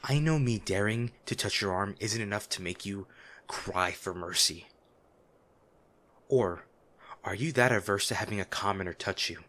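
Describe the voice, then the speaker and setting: A man playing a noble lady's gruff, protective bodyguard, blunt and emotional, in a dramatic fantasy audio roleplay. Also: fairly low pitch, moderate pace, long pauses, pushed and bright. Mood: angry, scolding. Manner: exasperated, emotional, blunt, with sighs. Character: gruff, protective male bodyguard of a noble lady, scolding yet anxious.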